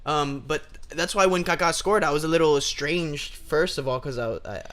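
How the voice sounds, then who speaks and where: A man's voice: on the high side, moderate pace. Rambling man with a sore throat. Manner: rambling, restarts, excitable. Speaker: podcast co-host. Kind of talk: casual sports chat. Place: apartment.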